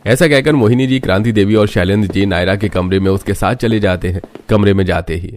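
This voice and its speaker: A man's voice: fairly low pitch, fairly quick, a little mellow, a little hiss or hum. A man reading a scripted family story as narrator. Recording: music bed under the voice.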